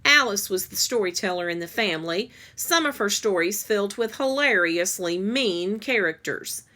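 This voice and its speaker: A woman reading a children's story aloud in a measured, deliberate storytelling way. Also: mid-range voice, animated, pushed and bright. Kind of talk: read-aloud story narration.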